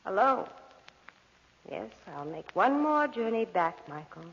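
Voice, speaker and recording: Give this ancient undead spirit woman a high voice with sweeping, dramatic swoops. She is possessive, cold and ominous, commanding in the manner of 1940s radio horror, inside a long echoing reverb. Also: big pitch swings, full character voice. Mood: triumphant, possessive. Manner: slow, ominous, commanding delivery with drawn-out emphasis. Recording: muffled old radio recording, long hall reverb.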